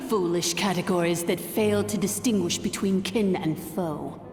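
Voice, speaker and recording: Arrogant dragon overlord, echoing and slow. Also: female voice, medium pitch, expressive, darkish tone. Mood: cold, vengeful. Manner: haughty, grandiose, contemptuous. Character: arrogant, cruel, ancient female dragon overlord. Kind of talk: video game villain acting. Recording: long reverb, music behind.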